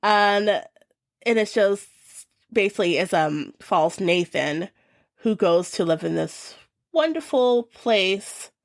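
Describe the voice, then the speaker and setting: A woman's voice: mid-range voice, animated, slow pace, no echo, studio quality. A young woman chatting casually and enthusiastically as she reviews her favorite shows of the year, with many fillers.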